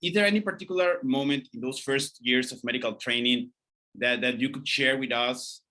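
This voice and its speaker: A man's voice: medium pitch, normal speed, pushed and bright. A man hosting an interview series who asks long, winding questions with enthusiasm. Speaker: interview host.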